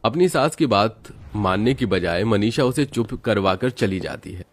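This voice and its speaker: Low-voiced male story narrator. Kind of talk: scripted narration of a family story.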